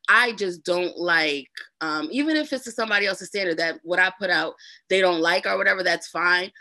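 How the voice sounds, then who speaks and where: A woman's voice: lowish voice, a little fast, bright, forceful tone, no room sound. A woman with a New Jersey accent, a voice narrator talking casually as an interview guest, full of fillers and repeats. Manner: chatty, uses lots of fillers and repeats.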